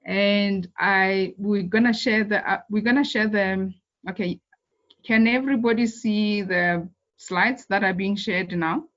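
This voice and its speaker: A woman's voice: mid-range voice, steady tone, a little slow, a bit bright, dull audio. A woman who organizes an online webinar, making announcements and earnest appeals to the audience before handing over to the speakers.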